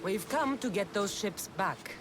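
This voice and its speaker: A woman's voice: mid-range voice, animated, unhurried, noisy background, close to an everyday voice. An actress playing a confident, pragmatic young female mercenary in a video game, speaking directly and tersely. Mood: steady, reassuring. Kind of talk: video game cutscene acting.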